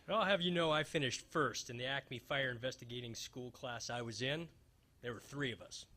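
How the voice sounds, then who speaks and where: A man's voice: medium pitch, fairly quick, pushed and bright, natural voice. A man playing a wisecracking, hapless fire investigator in a noir radio spoof, with wry, hard-boiled storytelling. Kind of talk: old-time radio detective spoof, staged reading.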